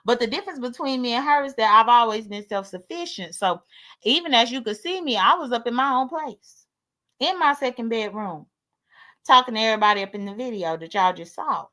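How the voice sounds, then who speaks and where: American lady late 30s, kinda high voice, sassy livestream chat, super blunt, cusses a lot. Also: animated, a little fast, bright, forceful tone. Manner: blunt, sassy, swears freely. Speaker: livestream host. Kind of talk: casual livestream chat, airing grievances.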